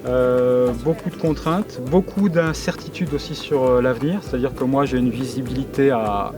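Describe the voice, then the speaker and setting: A man's voice: mid-range voice, normal speed. A middle-aged man being interviewed casually, speaking hesitantly and often repeating his words. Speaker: interviewee, professional sled-dog musher.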